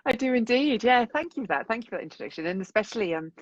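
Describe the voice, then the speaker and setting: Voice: mid-range voice, animated, rapid-fire, no echo. Interviewer, enthusiastic and affirming, dry sound, dull lo-fi video call audio. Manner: warm, affirming, enthusiastic responses. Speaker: interviewer, forest bathing guide. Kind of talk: interview questions.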